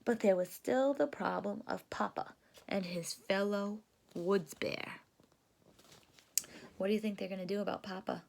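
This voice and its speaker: A woman reading a children's picture book aloud in a deliberate, teacherly way, stopping to put questions to young listeners. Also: mid-range voice, vocal fry, a little mellow. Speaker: read-aloud storyteller for children.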